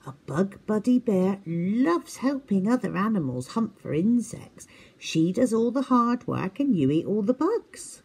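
Woman plays a smug, mean big-brother owl for a children's story; sly and mocking, with a lively voice. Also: medium pitch, measured pace, husky, pitched above the performer's own voice, full character voice.

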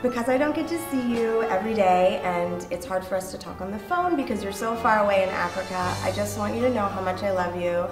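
Sincere actress, echoey room. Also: female voice, mid-range voice, lively intonation, brisk, few pauses, over music. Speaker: stage actor in a musical. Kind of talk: interview with a message to her mother.

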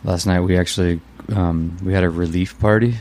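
A man, the singer of a band, answering interview questions in a scratchy, hoarse voice, laid-back and halting. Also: deep voice, steady tone, darkish tone.

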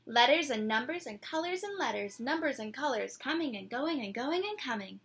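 Young woman reading a kids picture book, bright high voice, slightly husky, really expressive, takes her time. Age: 20s. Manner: theatrical, drawn-out words, engages young listeners with questions. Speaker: storyteller reading a picture book aloud to children. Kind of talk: expressive children's read-aloud. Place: small decorated room indoors.

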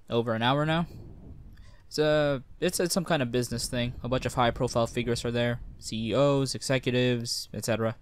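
A man's voice: mid-range voice, natural voice. A man playing a cocky, easygoing veteran sci-fi spy, talking in a casual, wry, joking way in an audio roleplay. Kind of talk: conversational one-sided audio roleplay acting.

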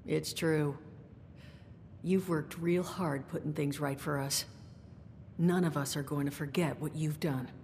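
A streetwise, folksy woman with a creaky, gravelly edge in a video game. She is tough and wry, heard in long reverb over rumbling noise. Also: steady tone, a little slow, pauses often, darkish tone. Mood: sincere. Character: tough, plain-spoken woman with loyal streak. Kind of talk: video game dialogue acting.

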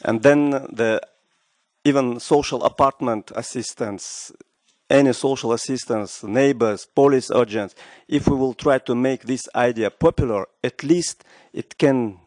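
A man's voice: medium pitch, a little slow, darkish tone, dry recording. Man, middle-aged, earnest, conference floor comment, desk mic, dry. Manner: earnest, non-native phrasing, gestures while speaking. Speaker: audience member asking a question. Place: large conference room. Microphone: desk microphone, wearing headset.

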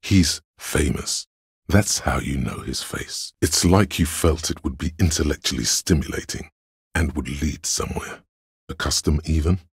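Very deep, raspy voice of logic. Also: male voice, animated, no echo, heavily acted. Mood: dry, reasoning. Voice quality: very deep, gravelly rasp. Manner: measured, deliberate, analytical, dry. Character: calm, cerebral voice of Logic inside a detective's mind. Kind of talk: video game inner-voice narration.

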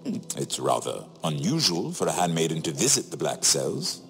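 An actor playing a wry, shrewd middle-aged imprisoned nobleman in a video game, sardonic and theatrical, phrasing each remark deliberately. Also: rumbling low, huge pitch swings, fairly bright tone, exaggerated voice. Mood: wry, probing. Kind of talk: dramatic video game dialogue. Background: music.

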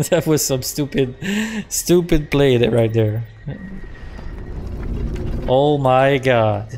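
A man's voice: medium pitch, slow, a little mellow, slight background noise. A man in his thirties, a native French speaker with a Québécois accent, casually chatting and laughing at himself while streaming a game from a small room at home. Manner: rambling, self-mocking, chuckles often, repeats words. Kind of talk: casual live-stream commentary.

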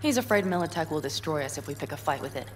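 A woman's voice: low voice, animated, quick pace, a little hiss or hum. An actress playing a headstrong, defiant young nomad woman in a video game, with an emphatic, sardonic delivery. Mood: determined, argumentative. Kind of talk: dramatic video game acting.

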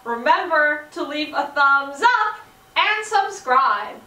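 A young woman hosting for children, presenting to camera with animated delivery, recorded a little way from the microphone in a room. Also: high voice, slow pace. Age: young adult. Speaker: children's channel host. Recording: roomy, slightly distant microphone.

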